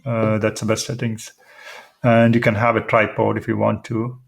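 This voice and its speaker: A man presents an informal tech tutorial in a fairly low voice. His delivery is flat, with a noticeable fry in it. He explains each step haltingly, often hesitating as he goes. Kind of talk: informal screen-demo tutorial.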